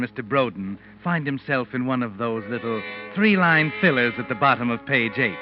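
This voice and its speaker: An actor playing a knowing, sardonic narrator of an old-time radio mystery, measured and insinuating as he addresses the culprit. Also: mid-range voice, close to an everyday voice. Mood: ominous, knowing. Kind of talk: 1940s-style radio mystery narration in second person. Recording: muffled old radio recording, music underneath.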